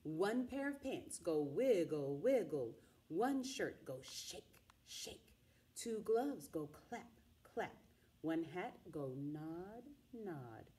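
A middle-aged woman reading a children's picture book aloud in an expressive, dramatic way, drawing out the sound words. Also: lowish voice, takes it slowly. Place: small indoor room with wall cards and pillows.

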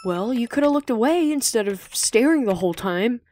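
A woman's voice: on the high side, animated, normal speed, darkish tone, faint music behind, pitched above the performer's own voice, lightly acted. A woman voicing a cheeky, leering teenage boy with a teasing, casual manner in an audiobook. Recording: slightly noisy home recording.

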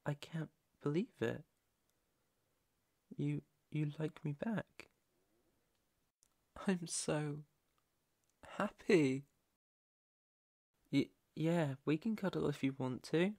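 A British man playing a shy, sweet, easily flustered young best friend in an intimate ASMR roleplay, stammering bashfully and tenderly. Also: a little high. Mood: amazed, happy, then bashful, playful. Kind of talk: ASMR roleplay acting, intimate one-sided dialogue.

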